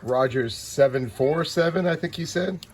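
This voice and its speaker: A man's voice: on the high side, moderate pace. An American man talking as a CB radio operator, casually making long-distance contact in a laid-back way with call signs. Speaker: CB radio operator and channel host. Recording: noisy CB radio room ambience. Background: radio noise.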